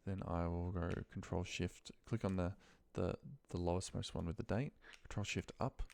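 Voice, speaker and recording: Male instructor, deep and fry-edged, casual unscripted software walkthrough with a muttered, thinking-aloud manner. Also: fairly even intonation, normal speed. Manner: informal, muttering to himself while working. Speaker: instructor demonstrating a spreadsheet task. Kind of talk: casual screen-share tutorial, unscripted.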